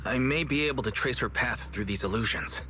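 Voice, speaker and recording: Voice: medium pitch, a little fast, bright, forceful tone, soft background music, natural voice. An actor playing a serious, determined investigator in a video game, earnest and analytical. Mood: apologetic, resolute.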